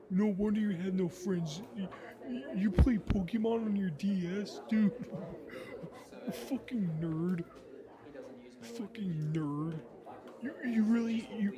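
Guy acting as cocky high school jock bully, slow taunting dude-bro talk, highish voice, crowd chatter behind him. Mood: mocking. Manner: taunting, repetitive slangy jock drawl. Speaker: ASMR roleplay performer. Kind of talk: improvised ASMR roleplay monologue.

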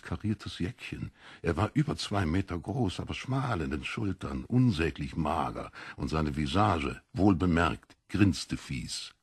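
Deep, husky male storyteller. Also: no room sound, studio quality. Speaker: narrator. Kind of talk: dramatic literary audiobook narration.

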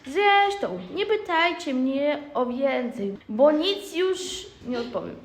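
A woman's voice: high-pitched, animated, slow, heavily acted. A young girl playing a proud, taciturn old grandmother toad in an amateur radio play. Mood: grand, secretive. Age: child or young teen. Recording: echoey room.

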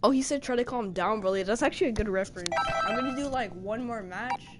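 A man giving casual, excitable commentary while playing a fighting game, shouting in fights and mumbling between rounds. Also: high voice, fast talker, noisy background. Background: loud game music and sound effects.

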